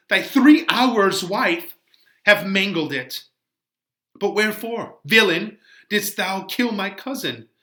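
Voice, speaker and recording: A man performing a distraught, passionate teenage bride in a melodramatic, drawn-out Shakespeare reading. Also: high-pitched, pushed and bright, pitched above the performer's own voice, heavily acted. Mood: anguished, conflicted.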